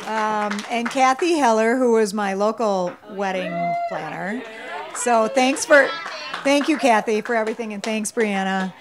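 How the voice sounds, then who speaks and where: A woman's voice: a little high, a little slow, heavy background noise. Older woman giving off-the-cuff wedding thanks. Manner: off the cuff, many ums. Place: candlelit tent at a dinner party. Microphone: handheld mic.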